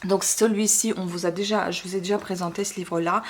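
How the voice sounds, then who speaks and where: A woman's voice: on the low side, steady tone, quick pace, slight background noise. Hesitant woman presenting her books. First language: not French. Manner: hesitant, many fillers, self-correcting. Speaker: channel host. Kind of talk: casual book presentation.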